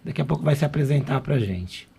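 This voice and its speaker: A man's voice: fairly high pitch, animated, quick pace, creaky, mellow, husky. A man hosting a podcast, talking in a chatty, loose way with fillers and restarts. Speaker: podcast host.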